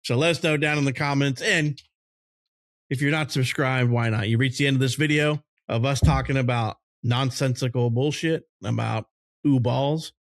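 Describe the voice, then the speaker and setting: A man's voice: mid-range voice, fairly even intonation, moderate pace, no echo. Casual male podcast host, close dry sound. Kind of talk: casual chat among friends about games and movies.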